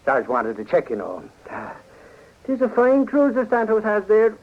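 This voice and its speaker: High-pitched, blustery Irish newshound. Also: male voice, wildly swooping pitch, moderate pace, exaggerated voice. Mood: chatty, confident. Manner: blustery, folksy, argumentative. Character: hot-headed, boastful Irish male reporter with police pals. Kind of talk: 1940s radio acting. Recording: dull, lo-fi 1940s radio recording.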